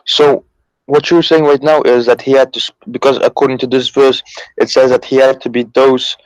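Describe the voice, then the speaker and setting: A man from Turkey, calling in to a live debate and answering hesitantly with many pauses. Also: mid-range voice, little pitch movement, no echo, lo-fi sound. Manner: hesitant, with fillers and restarts. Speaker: caller.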